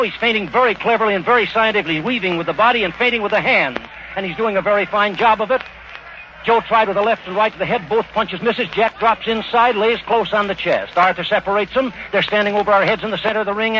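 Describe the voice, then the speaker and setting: A high-voiced man gives clipped, rapid boxing commentary from ringside. It is an old 1930s radio broadcast, dull in tone with some background noise. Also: fairly even intonation. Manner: clipped, running present-tense description. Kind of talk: 1930s live radio blow-by-blow sports commentary. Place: outdoor stadium ringside.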